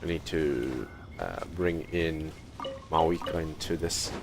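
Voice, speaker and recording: Very deep-voiced man streaming a game. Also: slow pace, gravelly. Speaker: livestream host. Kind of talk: casual gaming livestream commentary. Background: video game music.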